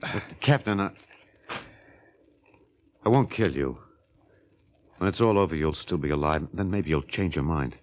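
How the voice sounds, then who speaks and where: An actor with an American accent playing a bitter, disillusioned astronaut turned killer in a 1950s radio drama, intense and halting. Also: male voice, extremely low pitch, expressive, a little hiss or hum. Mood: quiet, resolute. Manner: intense, halting, broods aloud. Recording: old 1950 radio recording.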